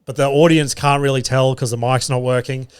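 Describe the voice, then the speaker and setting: A young man rambles through stories on his podcast, swearing freely and chuckling at his own punchlines. His voice sits at an everyday middle pitch, recorded in a studio. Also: little pitch movement, brisk, smooth. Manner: loose and sweary, laughs at his own jokes. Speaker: podcast host and comedian. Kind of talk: casual solo podcast storytelling.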